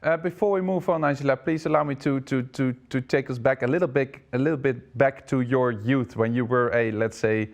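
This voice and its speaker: A man's voice: mid-range voice, fairly even intonation, a little fast, vocal fry, few pauses. Hesitant male interview host. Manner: hesitant, repeats words. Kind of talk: online interview.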